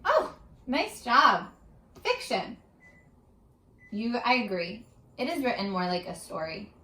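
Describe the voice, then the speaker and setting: Bright, animated woman teaching young children. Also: a little high, slow. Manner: addresses young listeners directly, animated and instructional. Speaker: teacher reading aloud to children. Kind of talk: children's read-aloud lesson with questions. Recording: roomy, echoing sound.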